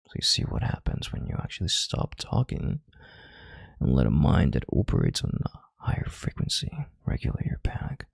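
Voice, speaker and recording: Casting: male actor as an arrogant, controlling executive, extremely low gravelly voice, breathy half-whisper, close and dry, for intimate audio roleplay. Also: wildly swooping pitch, dry recording, full character voice. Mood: smug, soothing. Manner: slow, deliberate, condescending, authoritative. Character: calm, controlling, arrogant CEO soothing his analyst.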